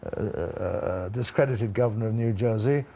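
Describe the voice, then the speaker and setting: A man's voice: on the low side, normal speed, mellow. An older British man giving expert commentary on a news panel, with wry, blunt, colloquial asides. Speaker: former ambassador, studio guest.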